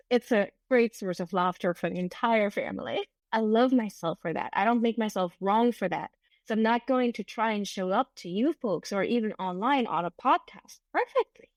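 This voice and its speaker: A woman's voice: fairly high pitch, no echo. A middle-aged woman whose first language is German, hosting an unscripted solo podcast in an earnest, reflective way, often restarting her sentences. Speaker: podcast host and business coach.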